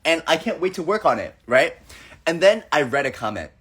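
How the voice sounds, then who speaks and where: A man's voice: fairly high pitch, sing-song, a little fast, fairly bright tone, breathy edge. A young man hosting a casual livestream chat, playful and acting out the people in his stories. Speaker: livestream host.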